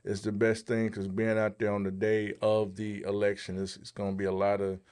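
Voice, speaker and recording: Lower-voiced man, halting podcast commentary. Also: monotone, darkish tone. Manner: halting, pauses mid-thought. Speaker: podcast co-host. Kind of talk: casual topical commentary.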